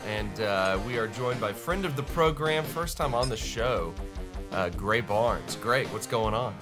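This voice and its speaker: A man with a lowish, stuffy voice hosts a relaxed live stream, talking in loose, rambling runs and laughing at himself. His words come out unhurried, and faint music plays behind him. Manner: rambling, self-deprecating, laughs often. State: stuffy, congested voice. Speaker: podcast host. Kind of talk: casual live-stream chat.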